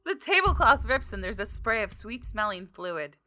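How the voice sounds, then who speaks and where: A woman doing a let's play, reading a visual novel aloud in an expressive way and exclaiming as she reacts. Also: medium pitch, moderate pace. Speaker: gaming video creator.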